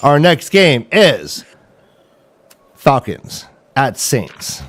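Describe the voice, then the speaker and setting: A man with a mid-pitched voice hosts a casual livestream. He talks in a playful, joking manner, like someone chatting about sports with friends. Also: huge pitch swings, very slow. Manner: jokey, playful. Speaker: host of a fantasy football livestream.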